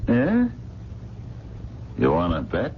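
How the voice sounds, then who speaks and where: An actor playing a gruff, wary but soft-hearted working-class American diner owner, blunt and slangy, in a 1970s radio drama. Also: male voice, low voice, huge pitch swings, takes it slowly, exaggerated voice. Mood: needling, worried. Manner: streetwise, blunt, slangy, stammering starts. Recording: muffled, faintly noisy old radio recording.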